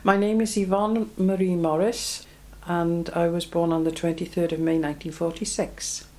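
Woman, 70s, Belgian-born, low mellow voice, reflective oral history interviewee. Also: little pitch movement, normal speed. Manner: reflective, plain-spoken, frequent ums.

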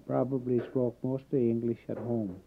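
A man born in Canada recalling his Welsh community in a halting oral history interview, pausing often. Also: medium pitch, little pitch movement, gravelly, breathy edge. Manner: halting, many filler pauses. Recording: tinny phone-like old tape.

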